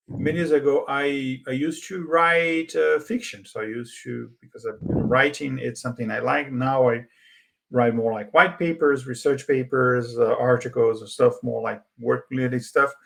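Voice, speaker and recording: A man with a gravelly, fry-edged voice at a middle pitch, talking casually as a podcast co-host. His first language is Portuguese, and he pauses often, circling back with fillers and restarts. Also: steady tone, a bit bright, rough recording. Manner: hesitant, many fillers and restarts. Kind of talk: casual conversation.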